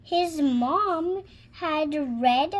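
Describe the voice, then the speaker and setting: High-pitched little girl reading very slowly. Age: child, six years old. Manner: hesitant, sounding out words. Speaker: young reader practicing reading aloud. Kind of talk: halting read-aloud of a children's storybook.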